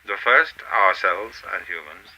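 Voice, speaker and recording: Man playing a cold, sharp-voiced alien ruler. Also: sits low, animated, highs cut off, heavily acted. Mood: measured, ominous. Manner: formal, measured, imperious. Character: cold, commanding alien ruler secretly breeding with humans. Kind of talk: radio play acting.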